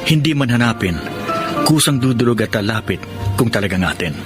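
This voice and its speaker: A man's voice: medium pitch, expressive, a little fast, close to an everyday voice. Earnest Filipino suitor played by a man over music. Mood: reflective. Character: kind, earnest suitor, a humble driver in love. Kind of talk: Filipino radio drama acting and narration.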